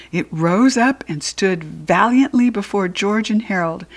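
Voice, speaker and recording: Woman, American accent, children's read-aloud narrator, middle pitch, expressive, playful, informal. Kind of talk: expressive children's storybook reading with playful asides.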